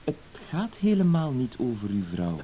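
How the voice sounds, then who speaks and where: A man's voice: mid-range voice, very animated, normal speed, warm tone, lightly acted, rough recording. An actor playing a fussy, hesitant, apologetic blackmailer in a radio play, circling around his point with verbal tics. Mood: quietly menacing. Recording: hissy old radio play recording.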